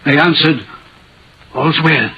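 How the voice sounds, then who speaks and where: Haunted old-radio railway signalman, kinda high raspy voice, halting intense storyteller, dull muffled sound. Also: heavily acted. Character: troubled railway signalman haunted by a warning apparition. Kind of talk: 1950s radio drama acting.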